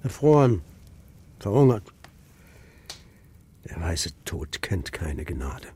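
A man's voice: low-pitched, big pitch swings, takes it slowly, mellow, slightly rough, exaggerated voice. A grave old herder grandfather. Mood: bitter, weary. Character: old grumpy Mongolian herder grandfather, weary and stern. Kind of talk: German children's radio drama acting.

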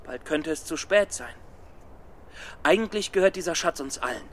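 An actor playing a timid, earnest, hesitant young scholar in a children's radio play. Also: male voice, a little high, animated, normal speed, a bit bright.

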